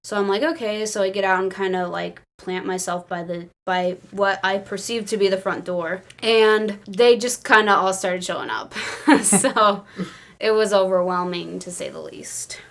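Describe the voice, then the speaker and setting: Female co-host, mid-pitched, giggly, excitable casual storyteller. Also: normal speed. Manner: excitable, giggly, peppers talk with "like". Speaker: podcast co-host.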